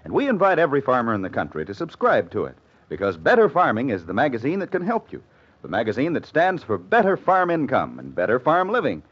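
A male announcer with a husky voice reading a 1950s radio advertisement in an emphatic, formal selling style. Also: medium pitch, huge pitch swings, fast talker. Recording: muffled old radio recording.

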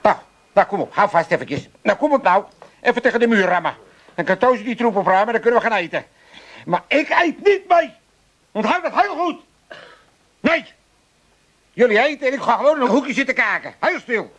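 A man's voice: very high-pitched, very animated, fairly quick, long pauses, a bit bright, full character voice. An actor playing a cantankerous, mocking old Amsterdam father in a comic radio play, grumbling theatrically. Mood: sulky, bustling. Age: older.

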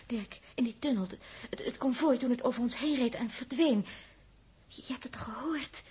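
An actress playing a teasing, playful, excitable space traveller in a 1960s Dutch radio drama, with breathy exclamations and halting repeats. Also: female voice, a little high. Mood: uneasy.

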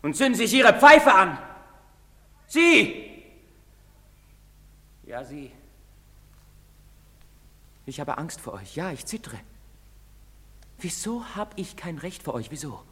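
An actor playing a bitter, accusing young apprentice of twenty in a radio drama. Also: male voice, high voice, big pitch swings, slow, long pauses, a bit bright, full character voice. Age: 20s. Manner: bitter, accusing outbursts, trembling. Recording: long hall reverb.

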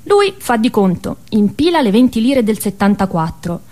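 A woman's voice: a little high, big pitch swings, moderate pace, a little mellow. A woman with a Tuscan accent tells a first-person story as an actress in a stage monologue.

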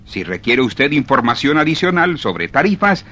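An actor playing a stiff official voicing a formal business letter in a radio drama. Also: male voice, medium pitch, very animated, normal speed, bright, forceful tone. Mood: officious.

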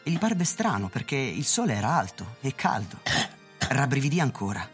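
A woman narrates a radio play in a very low register, with lively, moving intonation. Music plays softly beneath her measured, literary scripted reading.

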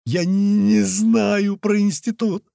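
Audiobook captive: male reader as a scared young man, high-pitched, stammering, frantic, begging. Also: moderate pace, darkish tone, no room sound, clean studio sound. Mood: frightened, stammering. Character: terrified young male prisoner, stammering, pleading. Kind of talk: dramatic audiobook character voice.

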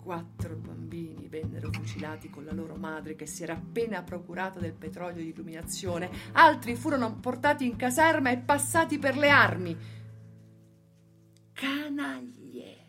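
A woman's voice: on the low side, normal speed, close to an everyday voice. An actress playing an indignant, accusing woman orator in a theatrical radio drama recitation. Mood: bitter, grieving. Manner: accusatory, theatrical, swinging from hushed to loud. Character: indignant woman orator accusing those who shot workers. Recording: music bed under the voice. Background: plucked guitar music.

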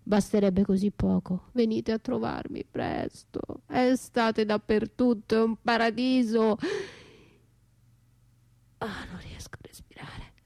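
Slow, mellow battered wife, anguished. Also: female voice, fairly high pitch, steady tone, no room sound, clean studio sound. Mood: wistful, then fading, breathless. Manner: theatrical, drawn-out, breathy, shifting from whispers to cries. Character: battered wife left for dead, anguished yet defiant. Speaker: stage actress and writer performing a solo radio play. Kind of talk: intense poetic radio theatre monologue.

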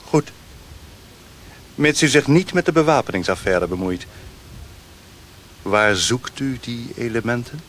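A man playing a courteous, commanding Chinese lord in a radio play, speaking in a formal, measured, stately manner. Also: mid-range voice, animated, fairly bright tone. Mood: gracious. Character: courteous, commanding Chinese lord, curious about Western science. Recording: hissy old radio play recording.

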